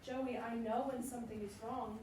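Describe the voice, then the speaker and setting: An actress playing a caring, firm wife who coaxes and reassures in a staged play reading. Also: female voice, fairly high pitch, fairly even intonation, moderate pace, warm tone, slight background noise, lightly acted. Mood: concerned. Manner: coaxing, reassuring. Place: performance room, recorded from a distance. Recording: very echoey room, distant mic.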